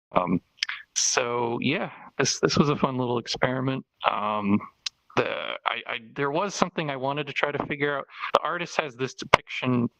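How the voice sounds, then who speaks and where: A man's voice: mid-range voice, gravelly, bright, forceful tone, no echo. A man presenting an avatar he made, in a casual, hesitant way with many fillers and restarts. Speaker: avatar creator presenting his work. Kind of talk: casual show-and-tell presentation. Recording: dull lo-fi voice chat audio.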